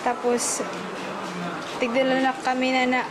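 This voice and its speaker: Young female volunteer, Waray accent, high even voice, interview with loud traffic noise. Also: unhurried. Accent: Waray, Philippines. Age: young adult. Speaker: youth volunteer being interviewed. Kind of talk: spontaneous interview. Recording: very noisy street interview, traffic behind.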